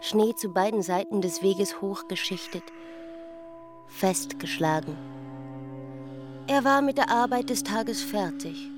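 Unhurried female narrator over music. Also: medium pitch. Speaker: narrator in a radio play. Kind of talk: slow literary narration in short phrases.

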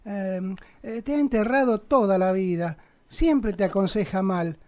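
A man's voice: high-pitched, unhurried, a little mellow. An Argentine man playing a sanctimonious, pompous conservative politician in a satirical radio play, with an unctuous, preachy manner. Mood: smug, cajoling. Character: sanctimonious male conservative politician, pious and crudely provocative.

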